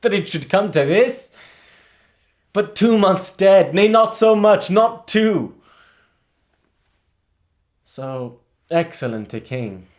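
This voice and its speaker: An actor playing a grieving, bitter young prince in a theatrical monologue, brooding and halting, rising into outbursts. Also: male voice, mid-range voice, very animated, a little mellow. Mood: bitter anguish.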